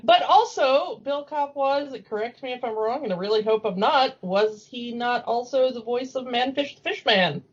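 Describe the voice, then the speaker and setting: A woman with a fairly high voice chats casually as a podcast co-host. She is talkative and giggly. The audio is a little dull and lo-fi. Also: moderate pace, few pauses, slightly rough.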